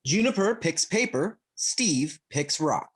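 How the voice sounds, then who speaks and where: A man's voice: a little high, big pitch swings, takes it slowly, husky. A man reading out stage directions as scripted narration for a play reading. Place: indoors, remote video call.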